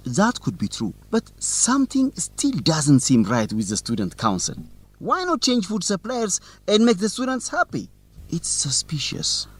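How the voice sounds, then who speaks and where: An actor playing a teasing, suspicious young student newsletter reporter in an educational radio drama, with a determined manner. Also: male voice, sits high, wildly swooping pitch, a little slow, breathy edge, heavily acted.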